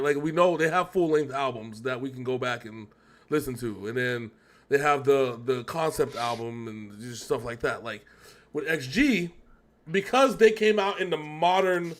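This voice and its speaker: A man with a somewhat high-set voice co-hosts a casual reaction show. He talks at length in a rambling, conversational way, doubling back to correct himself. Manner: rambling, talkative, self-correcting. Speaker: co-host of a music reaction show.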